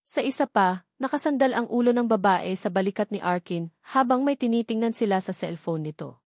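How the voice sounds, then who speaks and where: A woman's voice: a little high, expressive, brisk, a little mellow, no room sound, studio quality. A woman with a Filipino accent reads a scripted romantic drama story as its narrator.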